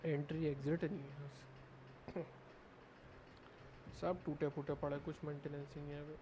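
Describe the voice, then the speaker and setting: A man's voice: a little high, flat delivery, very fast, lo-fi sound. A man with an Indian accent giving casual live gameplay commentary as a streamer. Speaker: game streamer. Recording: noisy live stream with game sound.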